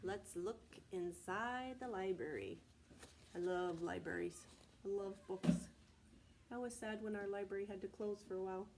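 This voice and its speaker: A woman preschool teacher reading aloud to young children in a deliberate, child-directed way, often asking prompting questions. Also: medium pitch, background noise. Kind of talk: read-aloud and sing-song circle time for young children.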